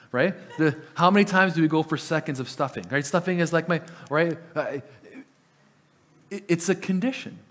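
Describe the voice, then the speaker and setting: Pastor guy preaching, grew up speaking italian, kinda high voice, stumbles and repeats words a lot. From Italian family. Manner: earnest, repeats words when starting sentences. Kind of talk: sermon.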